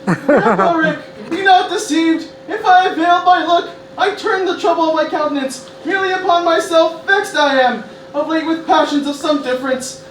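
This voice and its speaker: A man's voice: very high-pitched, normal speed, a bit bright. A man voicing a troubled, nervous teenage grandson speaking mock-Shakespearean verse in a live radio play. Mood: troubled, defensive. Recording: echoey room, distant mic, noisy.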